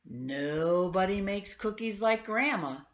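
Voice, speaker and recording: A woman's voice: mid-range voice, expressive, slow, lo-fi sound, voice pushed up. Singsong, welcoming storybook mother, read by a woman. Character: hospitable mother in a children's storybook.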